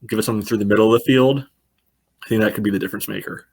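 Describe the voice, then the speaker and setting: A man's voice: fairly low pitch, fairly even intonation, racing, darkish tone. A man giving casual sports analysis as a podcast panelist, in loose phrasing padded with filler phrases. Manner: loose phrasing with frequent "you know".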